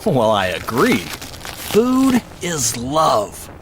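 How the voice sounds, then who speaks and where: A man's voice: medium pitch, huge pitch swings, very slow, noisy background, full character voice. An actor playing a talkative, upbeat middle-aged father who is a lawyer, in an audio drama. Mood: soothing. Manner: chatty, upbeat, soothing.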